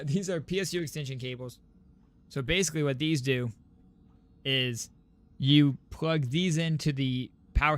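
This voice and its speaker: A man's voice: medium pitch, fairly bright tone. A man hosting a game livestream, chatting informally and directly to his viewers. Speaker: game streamer and host. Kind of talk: casual livestream chat.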